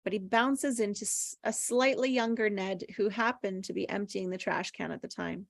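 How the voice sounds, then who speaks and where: A woman's voice: mid-range voice, moderate pace, creaky, no room sound. A woman with a mid-range voice reads screenplay directions aloud. The delivery is scripted narration for a table read, and the sound is dry with little room in it. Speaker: stage directions reader.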